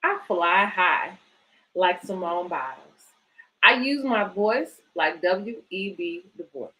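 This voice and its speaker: A woman's voice: fairly low pitch, lively intonation, slow, pushed and bright, low-quality recording. A woman hosting a children's storytime, reading picture books aloud and chatting encouragingly to families. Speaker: host of a children's bedtime story series, book organisation director.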